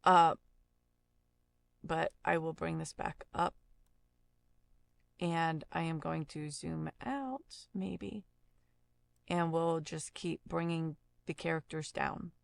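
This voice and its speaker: A woman giving a casual audio software tutorial, explaining informally and thinking aloud as she works. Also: sits low, takes it slowly, vocal fry, long pauses, no room sound.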